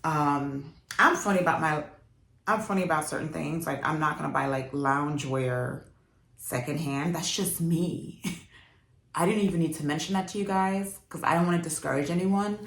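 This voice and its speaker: A woman's voice: low voice, moderate pace, creaky, a bit bright. A young woman from Jamaica, raised in New York, chatting casually to camera about fashion, laughing often and using lots of fillers. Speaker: fashion vlogger. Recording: echoey room.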